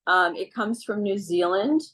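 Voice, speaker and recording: A middle-aged woman giving casual health advice on a video call, relaxed and chatty with frequent ums. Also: medium pitch, unhurried. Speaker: wellness speaker.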